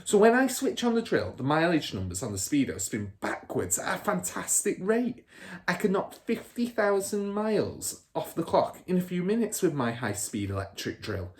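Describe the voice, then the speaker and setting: Man playing a crooked car dealer father, storybook villain, boastful, loud, scornful, raised animated pitch. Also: moderate pace, a little hiss or hum.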